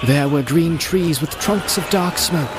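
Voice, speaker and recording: A man reading a story as an audiobook narrator, theatrical and deliberate in his phrasing, in a dramatic storytelling style. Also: a little high. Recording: home-made, music and crowd sound mixed in. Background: music, crowd and outdoor sound effects.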